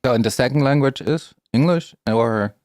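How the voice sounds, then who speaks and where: A man's voice: medium pitch, normal speed, darkish tone, dry recording, studio quality. A man from Europe chatting casually, blunt and teasing, sometimes hesitating over words. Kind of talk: casual chat.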